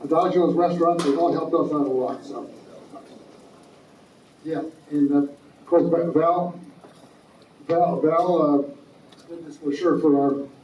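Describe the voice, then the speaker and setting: A man giving informal announcements at a meeting, halting and full of fillers and restarts. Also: fairly high pitch, fairly even intonation, a little hiss or hum. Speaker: society president. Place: meeting hall. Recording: echoey, distant, muffled room recording.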